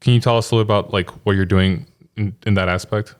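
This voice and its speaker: A man's voice: on the low side, fairly even intonation, fast talker. A man hosting a podcast, asking casual interview questions with plenty of "like" fillers. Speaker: podcast host.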